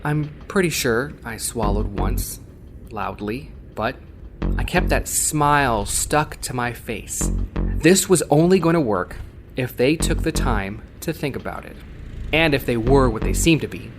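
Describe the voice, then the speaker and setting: A man's voice: mid-range voice, big pitch swings, a little slow. A man narrating a first-person cyberpunk story in a conversational, wry, dramatic audiobook style. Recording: voice over prominent synthwave music. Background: synthwave music soundtrack.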